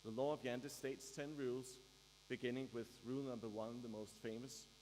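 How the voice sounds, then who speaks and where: A man with a Danish accent gives a prepared graduation speech, measured and with dry humor. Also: medium pitch, fairly even intonation, darkish tone. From Denmark. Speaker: graduation speaker. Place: large ceremony hall. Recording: reverberant hall with faint mains hum.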